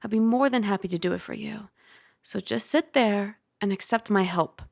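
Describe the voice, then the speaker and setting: Woman voicing a young dragon girl, soft-spoken ASMR roleplay, mid-pitched, gentle, playfully scolding, caring, dry close-mic sound. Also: normal speed, creaky, no echo, lightly acted. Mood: reassuring, soothing. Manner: gentle, playful, conversational, with sighs and hushed asides. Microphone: close microphone.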